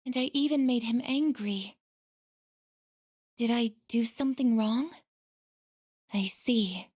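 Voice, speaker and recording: Gentle young female android, game voice acting, higher-pitched, very unhurried, formal, earnest, softly hesitant. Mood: reflective. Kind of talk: English video game voice acting.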